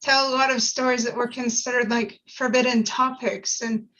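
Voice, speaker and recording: A woman's voice: a little high, little pitch movement, normal speed, sharp tone. A woman talking earnestly in a casual discussion, explaining her thoughts with frequent filler words like "you know". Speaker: panel participant. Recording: dull lo-fi audio.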